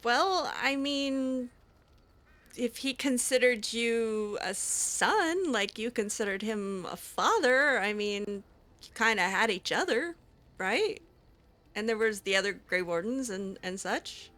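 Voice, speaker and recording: Gaming roleplay host, woman, bright tone, hesitant and rambling, a bit snarky. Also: on the high side, expressive, slow pace. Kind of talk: roleplaying a character in a video game.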